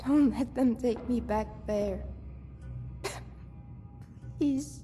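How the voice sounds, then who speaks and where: Woman playing a timid, very slow-speaking runaway girl. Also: a little high, dark tone, soft background music. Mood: frightened, pleading. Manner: halting, pleading, timid. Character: frightened, pleading young runaway girl.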